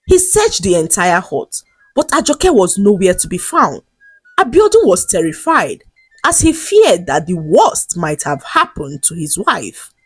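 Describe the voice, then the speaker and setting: Expressive woman telling a folktale. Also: mid-range voice, husky, dry recording. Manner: expressive, deliberate storytelling. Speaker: storyteller. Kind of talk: dramatic scripted folktale narration.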